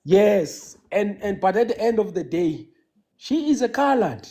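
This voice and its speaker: A South African man whose first language is Xhosa, calling in to a casual live chat and talking haltingly, with many restarts and fillers. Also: sits high, big pitch swings, warm tone. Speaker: caller.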